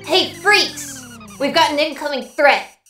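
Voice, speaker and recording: A woman's voice: sits high, measured pace, pushed and bright, reverberant, soft background music. A woman voicing a small, cheeky, childlike alien robot who teases, in a cartoon comic dub. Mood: brash. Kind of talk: animated comic dub acting.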